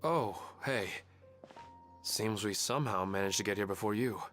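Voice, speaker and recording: A man's voice: lowish voice, normal speed, fairly bright tone, soft background music, lightly acted. A man voicing an easygoing, puzzled character in a video game, speaking casually.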